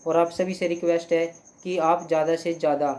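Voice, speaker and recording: A young man teaching English grammar in Hindi, going slowly through example sentences, repeating them and often checking "clear?". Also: on the high side, flat delivery, darkish tone. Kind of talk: Hindi-language grammar lesson.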